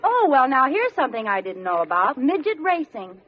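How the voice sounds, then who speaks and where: Eager stubborn housewife, highish lively voice, vintage radio sitcom, dull noisy recording. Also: female voice, a little fast. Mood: naive, confident. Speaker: actress in a radio comedy. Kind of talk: old-time radio sitcom acting. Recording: noisy old radio recording, studio audience.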